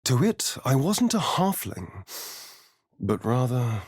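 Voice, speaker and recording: A man's voice: medium pitch, sing-song, slow, husky, no echo, studio quality, exaggerated voice. A man playing a vain, sardonic vampire rogue in a video game, with an arch, theatrical, drawling delivery. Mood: hushed storytelling. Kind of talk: video game character voice lines.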